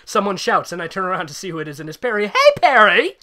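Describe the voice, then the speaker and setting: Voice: mid-range voice, racing, crowd noise. A giggly host reading fan fiction aloud with mocking, exaggerated comic delivery and banter. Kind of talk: comedic fan fiction read-along with banter.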